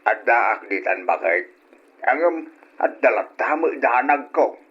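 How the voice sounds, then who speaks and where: An actor playing a mild, anxious elderly husband and father in an old radio drama, with a husky voice. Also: mid-range voice, lively intonation, a little slow. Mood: worried. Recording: dull lo-fi old radio recording.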